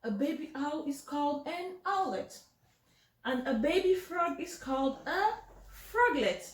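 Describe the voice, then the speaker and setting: A woman's voice: high voice, very animated, measured pace. A woman voicing an eager, curious young dog from a picture book, musing aloud and pleased with himself. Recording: roomy, echoing home recording.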